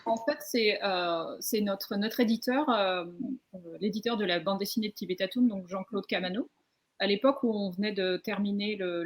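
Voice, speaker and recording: A woman speaking as a comic book writer on a panel, hesitant and often restarting her phrases. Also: medium pitch. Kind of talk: spontaneous panel account.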